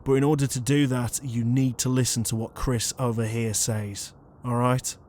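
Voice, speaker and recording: A man's voice: medium pitch, flat delivery, moderate pace, a little mellow, a little hiss or hum, natural voice. A man playing an earnest ghost ringleader in an audio drama, bossy but easily flustered. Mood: earnest, in charge.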